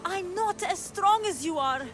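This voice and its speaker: Anguished, high-voiced young woman. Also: animated, measured pace, a bit bright, faint music behind, heavily acted. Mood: guilt-ridden. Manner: earnest, emotional. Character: anguished young woman hiding a beastly side. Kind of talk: video game cutscene acting.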